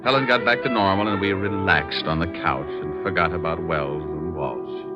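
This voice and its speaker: Low-voiced wisecracking radio private eye, acted by a man. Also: moderate pace, close to an everyday voice. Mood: narrating, dry. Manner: wry, flippant, slangy. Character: wisecracking, easygoing male private detective. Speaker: lead actor in a radio detective drama. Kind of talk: 1940s-50s radio acting. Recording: dull vintage radio recording with music.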